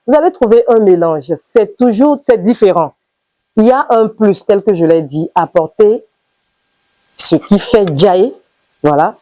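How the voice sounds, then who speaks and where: Young benin woman singer, low mellow voice, pauses and ums a lot, dry close sound. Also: no echo. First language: Idaasha. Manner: measured, with pauses and fillers. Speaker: singer being interviewed. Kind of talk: interview answers.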